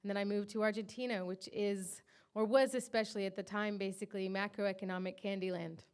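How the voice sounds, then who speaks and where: A woman's voice: mid-range voice, steady tone, fairly quick, clear, resonant tone. An American woman in her thirties, from the Washington area, giving chatty, informal closing remarks at a panel event. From Washington area, USA. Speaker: co-founder of a digital media company.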